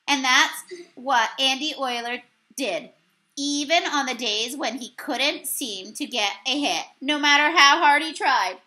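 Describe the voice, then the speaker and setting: A woman's voice: sits high, a little slow, rough recording. A young woman reading a picture book aloud expressively, as a teacher would, recorded on a computer mic in a room. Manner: animated storyteller with exclaimed dialogue. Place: small indoor room.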